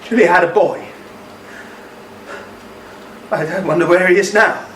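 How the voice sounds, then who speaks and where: An actor playing a guilt-ridden, middle-aged ex-serviceman in a radio play, halting and full of self-reproach. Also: sits high, a bit bright. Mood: shocked, anguished. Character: guilt-ridden ex-serviceman husband, injured Cold War test veteran. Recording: roomy, distant mic, faint background noise.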